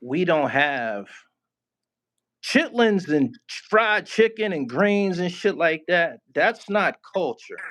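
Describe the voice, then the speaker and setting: A man's voice: sits high, very animated, slow pace, fairly bright tone. Laid-back man pushing back. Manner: laid-back, challenging, laughs and pushes back. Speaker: interviewer. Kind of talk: casual interview chat.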